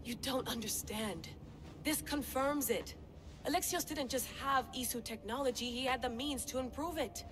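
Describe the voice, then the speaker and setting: An actress playing a driven, obsessive researcher in a game cutscene, intense and insistent. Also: female voice, high voice, normal speed, a bit bright. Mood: excited, insistent. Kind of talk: dramatic game cutscene acting. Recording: reverberant hall sound.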